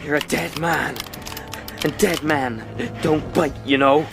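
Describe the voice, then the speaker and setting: High-voiced plucky cabin boy. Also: steady tone, takes it slowly, gravelly, over music. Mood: defiant. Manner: earnest, defiant. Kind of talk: radio drama acting.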